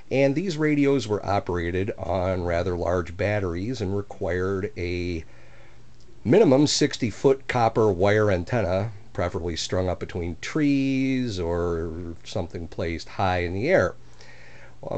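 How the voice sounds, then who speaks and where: A man with a fairly low voice talks through a hobby project in a casual, plainspoken way. He explains things step by step, like someone showing off home-built work. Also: darkish tone. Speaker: hobbyist restorer of antique radios. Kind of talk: casual demonstration narration.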